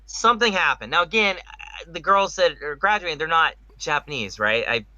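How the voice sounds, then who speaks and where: Male podcast host, very forceful and emphatic, opinionated panel talk, dry but slightly muffled recording. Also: fairly high pitch, lively intonation, normal speed, no echo. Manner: emphatic, rhetorical, repeats himself. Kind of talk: opinionated casual panel discussion.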